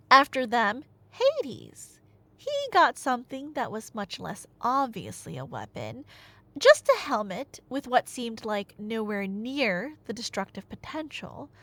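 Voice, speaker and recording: A woman's voice: fairly high pitch, fairly bright tone, no room sound. A woman telling a myth in a casual, chatty but dramatic way, with wry asides, like an ASMR roleplay storyteller. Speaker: storyteller playing a chatty girlfriend.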